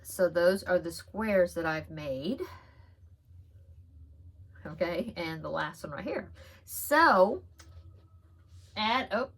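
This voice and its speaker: A woman talks through her crochet projects in a relaxed, rambling way, full of ums and little giggles. One side of her nose is stuffed up, which gives her voice a slightly blocked sound. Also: fairly low pitch, takes it slowly, pauses often, husky. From Texas. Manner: chatty, giggly, rambling with frequent fillers. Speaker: crochet podcast host. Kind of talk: casual show-and-tell chat.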